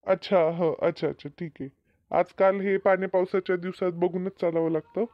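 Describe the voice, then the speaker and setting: A man plays a matter-of-fact village doctor in a homemade cartoon. His voice is high for a male, reassuring and repetitive, with a dry, muffled sound. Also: steady tone, brisk, no room sound, lightly acted. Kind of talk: amateur cartoon comedy dub.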